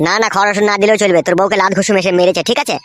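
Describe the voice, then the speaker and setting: Fast-talking, bossy village doctor acted by a woman. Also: mid-range voice, fairly even intonation, natural voice. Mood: bossy, irritated. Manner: brusque, scolding, foul-mouthed. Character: blunt, crude, bossy male village doctor. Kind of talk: comedy cartoon dub.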